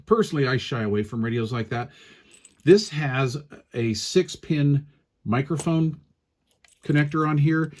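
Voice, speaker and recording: A man's voice: medium pitch, darkish tone. A man giving a casual, hands-on product review, explaining step by step in an informal, hesitant way. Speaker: radio gear reviewer.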